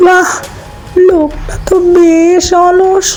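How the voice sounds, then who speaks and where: A menacing female ghost from a horror cartoon. The voice is piercingly high and stays on a narrow, even line. Every word is stretched very slowly as it hungers for human necks. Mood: gleeful, scheming. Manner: slow, drawn-out menacing delivery. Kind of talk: cartoon horror-comedy voice acting.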